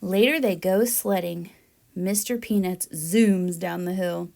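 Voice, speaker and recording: A woman reading a children's picture book aloud in a deliberate, expressive storytelling manner. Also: on the low side, gravelly. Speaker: read-aloud storyteller, teacher-like presenter.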